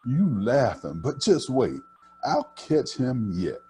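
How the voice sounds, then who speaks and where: A man's voice: medium pitch, big pitch swings, takes it slowly, mellow, soft background music. A man voicing a determined, spirited country girl in a storybook, with emphatic folksy phrasing. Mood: frustrated, defiant.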